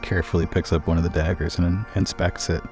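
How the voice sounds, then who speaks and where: Very low-voiced man playing a tabletop game. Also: flat delivery, fast, a little mellow, soft background music. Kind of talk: improvised roleplay and casual table talk.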